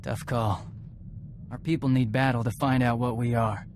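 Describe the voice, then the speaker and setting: An actor playing an earnest, battle-hungry young mercenary warrior in a video game, terse and plain-spoken. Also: male voice, mid-range voice, flat delivery, moderate pace, darkish tone, slight background noise, natural voice. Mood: earnest, restrained. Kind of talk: video game cutscene acting.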